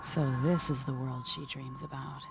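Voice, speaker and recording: An actress playing a resolute young empress in a video game, speaking in a restrained, determined way. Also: female voice, very deep voice, steady tone, moderate pace, creaky, very noisy. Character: resolute young empress reclaiming her stolen throne. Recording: music behind the voice.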